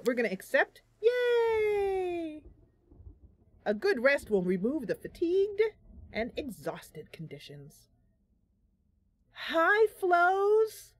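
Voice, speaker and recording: A woman streaming a game live, chatting casually and affectionately with her viewers. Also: fairly high pitch.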